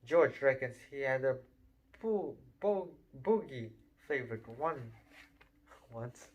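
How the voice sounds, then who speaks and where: A man voicing a chatty, blunt young boy wizard who is excitable and quick to grumble. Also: medium pitch, dull audio.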